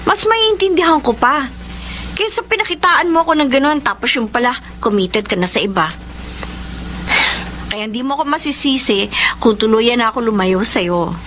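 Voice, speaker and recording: A woman's voice: fairly high pitch, lively intonation, normal speed, a bit bright, slight background noise. An actress playing a heartbroken young career woman with a Filipino accent, narrating and acting in a radio romance drama, mixing in English phrases. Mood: hurt, reproachful. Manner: mixes English phrases into her talk. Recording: music bed behind the voice.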